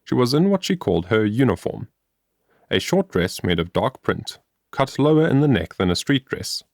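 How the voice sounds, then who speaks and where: A man narrating a story as an audiobook, reading in a measured, expressive storybook manner. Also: mid-range voice, dry recording, clean studio sound.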